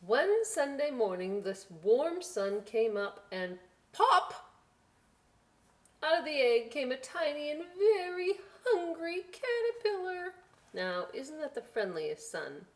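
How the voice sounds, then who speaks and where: A woman's voice: sits high, sing-song, takes it slowly. A middle-aged woman reading picture books to young children at a library storytime, playful and conversational, with chatty asides. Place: inside a library.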